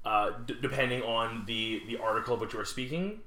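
A man from Montreal co-hosting a podcast, telling anecdotes in a lively, casual way with the odd swear. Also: mid-range voice, a little fast, reverberant, poor audio. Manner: animated storyteller, swears casually. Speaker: podcast co-host. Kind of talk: casual chat.

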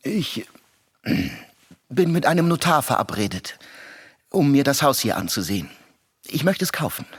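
A guarded, uneasy doctor in a radio drama. The mid-pitched voice is husky and swings widely, hesitant as it evades. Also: male voice, very animated, unhurried, long pauses. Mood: uneasy, evasive. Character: evasive, uneasy male doctor under questioning. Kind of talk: radio play acting.

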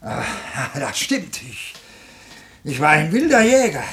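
Slow, creaky-voiced wistful old huntsman. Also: on the high side, huge pitch swings, a bit bright, exaggerated voice. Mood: proud, reminiscing. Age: older. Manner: halting, pensive, repeating himself. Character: wistful, muddled old ex-lieutenant and hunter, a grandfather. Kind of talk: 1950s German radio play acting. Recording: 1950s radio recording with noise, clicks.

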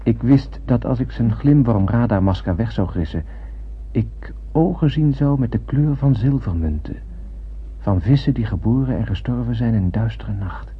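Earnest young spaceship sailor, muffled recording. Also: male voice, medium pitch, expressive, normal speed. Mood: awed, brooding. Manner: earnest, poetic, literary delivery. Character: eager young male spaceship sailor, awestruck and poetic. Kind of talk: radio drama acting. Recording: muffled radio play, clear background hum.

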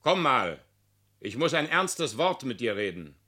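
Admonishing father: mid-pitched, forceful edge, lively intonation, plain-spoken, radio drama. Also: a little slow, exaggerated voice. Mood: stern, earnest. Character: stern but fair father lecturing his teenage son. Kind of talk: German radio play acting.

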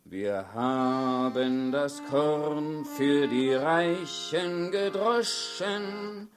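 Weary male tavern balladeer, self-mocking, slow delivery, radio play, loud accordion backing. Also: fairly high pitch, music in the background. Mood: mournful singing. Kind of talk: German radio drama acting.

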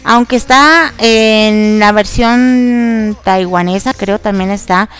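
A woman's voice: mid-range voice, slow pace, fairly bright tone, smooth. A woman hosting an online radio show, nasal and congested from allergies, chatting casually with many fillers and giggles. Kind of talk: casual radio chat about K-dramas.

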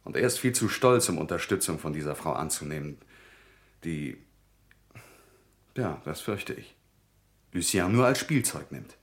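An actor playing an earnest, troubled young printer and devoted friend in a German radio drama, grave and measured. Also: male voice, a bass voice, very animated, full character voice. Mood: grave, warning.

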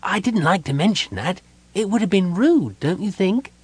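A man voicing a small, excitable, guileless kender who blurts things out in a chatty, naive way. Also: high-pitched, normal speed, husky, no echo, pitched above the performer's own voice. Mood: guileless blurting.

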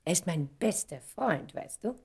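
A woman's voice: fairly low pitch, expressive, normal speed, creaky, husky, full character voice. An actress playing a poised, probing society lady in a German radio play, politely cool and pointed in her replies.

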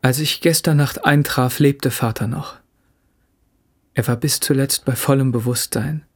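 Formal, measured bereaved son. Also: male voice, mid-range voice, fairly even intonation, husky. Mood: solemn, restrained. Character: bereaved son, respectfully relaying his father's last words. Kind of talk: German radio play acting.

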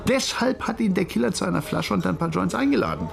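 A man's voice: sits high, huge pitch swings, fast, gravelly, crowd noise, heavily acted. An actor playing a cagey, streetwise police informant in a radio crime drama, drawing out his story in a teasing way. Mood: cagey, haggling. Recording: music playing behind the voice.

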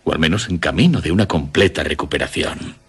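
An actor playing a worried, protective middle-aged father in a radio drama, with a husky voice, Castilian Spanish accent and halting delivery. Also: extremely low pitch, exaggerated voice. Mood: soothing, evasive. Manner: halting, soothing but evasive.

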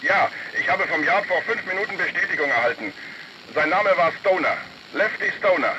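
An actor playing a formal, factual police officer reporting over a radio in a crime play. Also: male voice, high voice, normal speed. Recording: dull radio sound, loud noise.

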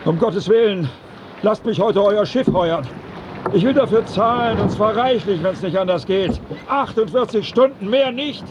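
A man's voice: high-pitched, unhurried, lo-fi sound. An actor playing a desperate ship's captain and father, imploring with raw emotion in a radio drama. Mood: desperate pleading. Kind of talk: theatrical radio drama acting. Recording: clear wind and water noise. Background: wind, water, boat sounds.

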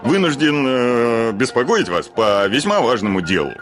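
An actor playing an irritable, blustering landowner and retired officer in a radio play, formal but gruff, with hemming pauses. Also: male voice, medium pitch, big pitch swings, music in the background, close to an everyday voice. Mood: stiffly formal. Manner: formal address breaking into gruff insults, hemming. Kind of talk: classic radio play acting.

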